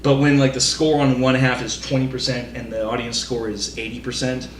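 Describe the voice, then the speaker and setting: A man's voice: mid-range voice, level tone, a little fast. Exasperated man ranting in an echoey room. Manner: exasperated, emphatic, sweary. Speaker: podcast co-host. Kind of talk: casual panel rant about movies. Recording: roomy echo, slight background noise.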